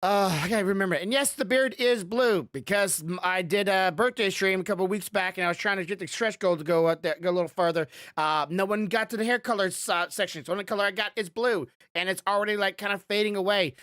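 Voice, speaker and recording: A man hosting a podcast in casual, rambling chat, with a loud voice that rises when he gets passionate about something. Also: high voice, quick pace, few pauses, no room sound, clean studio sound. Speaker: podcast host.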